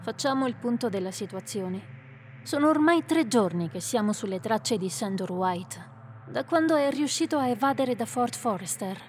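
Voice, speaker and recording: A woman voicing a calm female companion and healer who leads the group, in an Italian radio drama, speaking in a composed, authoritative way. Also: on the high side, moderate pace, slight background noise, lightly acted.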